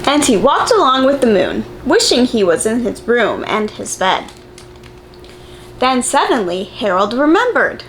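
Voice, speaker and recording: A woman reading a picture book aloud to young children, expressive and encouraging, putting simple questions to them like a preschool teacher. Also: on the high side, measured pace, a little hiss or hum, low-quality recording.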